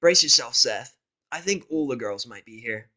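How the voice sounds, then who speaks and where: A man's voice: mid-range voice, expressive, moderate pace, sharp tone. A man voicing a brash, friendly young female pony in a story reading, breezy and casual. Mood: teasing warning.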